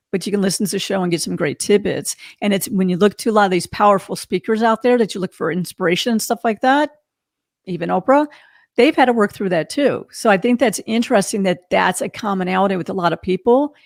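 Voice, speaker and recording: A woman's voice: mid-range voice, creaky, no echo, studio quality. A woman podcast host talking casually and reflectively, in a candid, emphatic way. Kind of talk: casual reflective interview talk.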